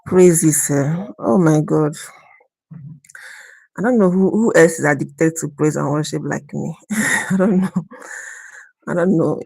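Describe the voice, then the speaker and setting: Slow-talking woman on a live stream. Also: sits low, gravelly, a little mellow, slightly rough, dry recording, rough recording. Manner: unhurried and earnest, repeats herself. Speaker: livestream host. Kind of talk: casual live chat between worship songs.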